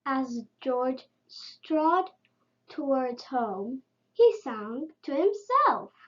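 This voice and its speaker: A woman's voice: high-pitched, expressive, very slow, dull audio. A woman reading a children's story aloud as a storyteller.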